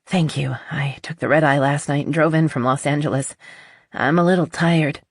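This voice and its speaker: An audiobook narrator voicing a nervous, earnest middle-aged woman confessing, with a halting, shaky delivery. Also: mid-range voice, steady tone, husky, no echo, studio quality. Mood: weary, apologetic.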